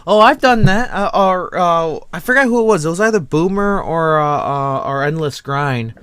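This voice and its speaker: Man, slightly high voice, panel host, casual chat, joking, rambling, dry close sound. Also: expressive, normal speed, a bit bright, clean tone, dry recording. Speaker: host of an online panel discussion.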